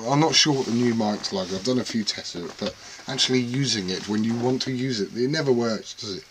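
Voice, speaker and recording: A man's voice: medium pitch, heavy background noise. A man rambling casually and self-deprecatingly to camera about his radio gear at home. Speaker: CB radio hobbyist vlogger.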